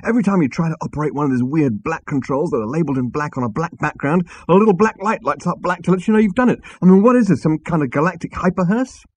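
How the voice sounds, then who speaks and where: A cocky storybook alien hustler acted by a male reader, pitched well up and swooping with excitement. He is slangy, impatient and greedy, with a rough-edged voice. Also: quick pace, hardly any pauses. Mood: brash, exasperated. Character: brash, impatient, greedy male alien hipster space rogue.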